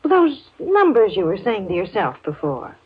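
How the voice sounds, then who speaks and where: A woman's voice: mid-range voice, wildly swooping pitch, normal speed, husky, exaggerated voice. A woman playing an anxious, doting suburban mother in 1950s radio drama style, speaking hesitantly and trailing off. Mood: anxious, evasive. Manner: hesitant, breaking off mid-sentence. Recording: muffled, noisy 1950s radio recording.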